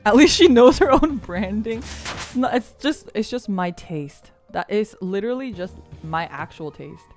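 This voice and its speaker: A woman's voice: expressive, unhurried, soft background music. A woman streaming a game, chatting casually with her viewers in a rambling, giggly, self-deprecating way with lots of filler words. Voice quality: lower voice for a woman. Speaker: VTuber streamer.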